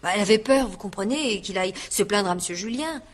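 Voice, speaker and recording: Actress as a gossipy, chattering housemaid witness. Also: female voice, mid-range voice, lively intonation, fast, natural voice. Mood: eager gossip. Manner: colloquial, hesitant then eagerly confiding, self-justifying. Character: gossipy, naive, talkative young housemaid giving testimony. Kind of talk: radio drama acting.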